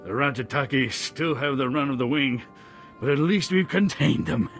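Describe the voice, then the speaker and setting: A wry man in command during a sci-fi game crisis. His mid-pitched delivery swings widely, dry and firm, over faint music. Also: animated, normal speed, a bit bright. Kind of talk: video game cutscene acting.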